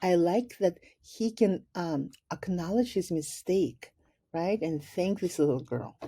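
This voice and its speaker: A woman with a fairly low, relaxed voice reads a picture book aloud. She takes her time and is deliberate, like a teacher who pauses to explain things to children. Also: breathy edge. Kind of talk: storybook read-aloud with brief explanations.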